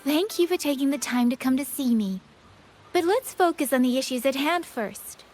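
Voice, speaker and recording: An earnest, higher-voiced younger sister. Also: female voice, lively intonation, normal speed, darkish tone. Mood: polite, firm. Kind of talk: video game voice acting. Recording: music behind the voice.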